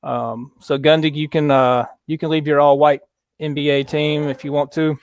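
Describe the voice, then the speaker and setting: Guy hosting a chill sports livestream, smooth voice, kinda high, dry close mic. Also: no room sound. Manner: relaxed, halting, lots of fillers, reads viewer comments aloud. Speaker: podcast host. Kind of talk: casual sports chat on a livestream.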